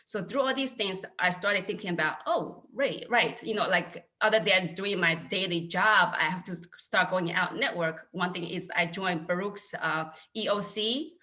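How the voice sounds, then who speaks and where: A woman's voice: lowish voice, normal speed, hardly any pauses, sharp tone. A woman in her thirties from China with a Chinese accent, speaking candidly as an accounting panelist over a video call. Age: 30s. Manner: earnest, candid storytelling. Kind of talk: casual panel talk.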